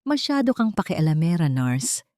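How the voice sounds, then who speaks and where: A woman's voice: fairly low pitch, very animated, moderate pace, a little mellow, dry recording, studio quality. Woman narrator as a sinister, scheming male lawyer. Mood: menacing. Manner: threatening, conspiratorial. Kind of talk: Filipino audio drama acting.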